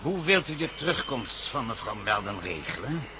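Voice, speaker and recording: Guy as businesslike police chief in a radio drama, middle pitch, animated delivery, official and firm. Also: moderate pace, natural voice. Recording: noisy old radio drama recording.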